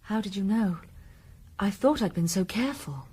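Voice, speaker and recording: Husky, guarded young Victorian lady. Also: medium pitch, animated, moderate pace, a little mellow. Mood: distressed, guarded. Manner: formal, defensive, emotional. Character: guarded, anxious young Victorian lady, proud but vulnerable. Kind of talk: period radio drama acting.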